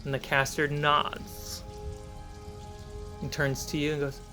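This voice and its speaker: A man narrating a tabletop fantasy game as game master, in a measured, suspenseful way with dramatic pauses. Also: a little high, completely flat delivery. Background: cinematic music score.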